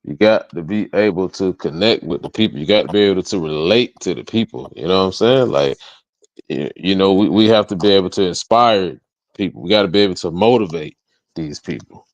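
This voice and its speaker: A man's voice: low voice, brisk, no echo, highs cut off. A man speaking casually as a music artist guest in an interview, motivational, emphatic and repetitive, with slangy tag questions.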